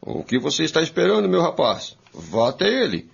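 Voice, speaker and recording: A hearty, courteous innkeeper from a radio play, acted by a man, with hugely swinging intonation and a gravelly edge. He reassures and encourages in a friendly way, on a dull, lo-fi recording. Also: a little high, huge pitch swings, brisk, heavily acted. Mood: reassuring. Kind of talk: radio drama acting. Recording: dull lo-fi audio.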